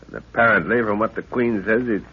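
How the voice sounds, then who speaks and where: Casting: professor-father of a radio adventure party, authoritative explainer, low husky voice, muffled old broadcast sound. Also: fairly quick. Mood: guarded, thoughtful. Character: level-headed professor, father and expedition leader. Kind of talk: 1930s radio drama acting. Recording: dull 1930s radio recording.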